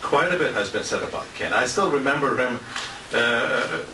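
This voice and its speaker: An older man giving an informal, rambling tribute speech in a halting, anecdotal way. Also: medium pitch, lively intonation. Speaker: retired radio announcer giving a tribute speech. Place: restaurant banquet room. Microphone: handheld compact camera at a distance. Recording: roomy, noisy handheld camera from far off.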